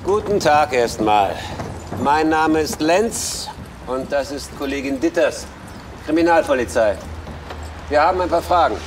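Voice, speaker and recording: An actor playing a hesitant, polite police detective in a naturalistic radio drama. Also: male voice, fairly high pitch, animated, unhurried, distant mic, slight background noise. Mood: polite, official.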